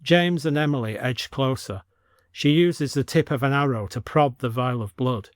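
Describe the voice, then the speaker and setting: A man's voice: medium pitch, moderate pace, dry recording, studio quality. A man reading scripted narration for an audio story as the storyteller.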